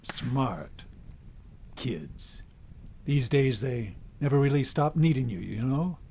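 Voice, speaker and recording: A man's voice: mid-range voice, expressive, slow, mellow, a little hiss or hum. An actor playing a cranky, world-weary old man in the woods, with a folksy, grumbling manner, in a radio drama. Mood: bitter, grumbling.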